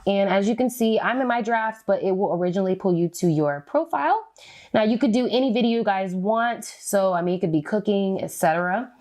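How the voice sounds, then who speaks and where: An American woman speaks as a beauty vlogger in a chatty, informal way. Her pitch sits in the middle for a woman, and she explains things step by step with plenty of relaxed slang. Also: brisk. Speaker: beauty vlogger giving a tutorial. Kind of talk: casual step-by-step tutorial chat.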